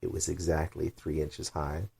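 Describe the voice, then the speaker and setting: Low-voiced man narrating a bedtime story. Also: flat delivery, normal speed, vocal fry, dark tone, husky.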